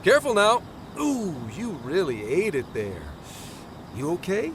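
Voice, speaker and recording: A man's voice: high-pitched, wildly swooping pitch, measured pace, noisy background, heavily acted. An actor playing an easygoing, flippant plainclothes policeman in a video game cutscene, confident and playfully theatrical. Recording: music behind the voice.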